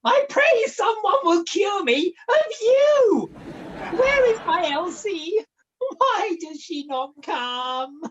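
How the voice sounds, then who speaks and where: A woman's voice: very high voice, very animated, takes it slowly, muffled recording, slight background noise, exaggerated voice. A woman playing a cantankerous, hard-of-hearing old invalid mother in a pantomime, scolding and melodramatic. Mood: indignant. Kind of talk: pantomime acting with sung passages. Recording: amateur video-call recording.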